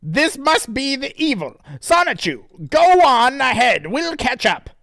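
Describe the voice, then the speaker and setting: A man voicing an earnest male cartoon hedgehog hero in a squeaky, strained high voice while reading a comic aloud. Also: big pitch swings, a little slow, pushed and bright, no room sound, clean studio sound, full character voice. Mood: urgent.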